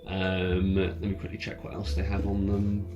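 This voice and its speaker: A low-pitched man serves as game master at an in-person tabletop session. He narrates off the cuff, halting and rewording as he describes things. Ambient music plays clearly behind his voice. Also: completely flat delivery, muffled recording.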